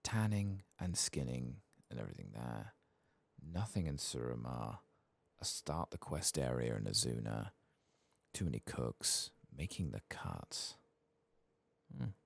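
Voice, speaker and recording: A man with a gravelly, husky voice talking softly as he hosts an ASMR video game playthrough, at times drifting into whispers. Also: a bass voice, sing-song, measured pace, pauses often, no echo. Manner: soft-spoken, murmuring, drifting into whispers. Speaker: host playing a video game for an ASMR channel. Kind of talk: soft-spoken ASMR game playthrough, reading quests aloud.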